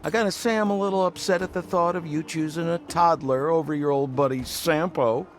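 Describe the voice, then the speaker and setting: A man playing a glib, theatrical, smooth-talking young rogue who works as a guide in a video game. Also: a little high, fairly even intonation, fairly quick, faint music behind, close to an everyday voice. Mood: glib, mock-hurt. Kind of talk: video game dialogue acting.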